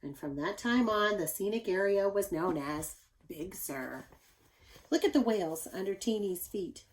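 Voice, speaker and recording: A woman reads a picture book aloud to children in a mid-pitched voice. Her delivery is expressive and teacherly, and she speaks directly to her young listeners. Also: measured pace. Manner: animated, addresses young listeners with asides.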